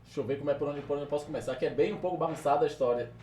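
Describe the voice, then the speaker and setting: A man with a Bahian accent, a podcast guest calmly recounting his life story and searching for where to begin. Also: a little high, racing, distant mic. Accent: Bahian Portuguese. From Salvador, Brazil. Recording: roomy sound, off-mic.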